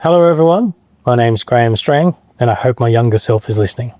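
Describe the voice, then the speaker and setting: Relaxed Australian podcast host. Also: male voice, medium pitch, fast, dry recording, clean studio sound. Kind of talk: podcast interview.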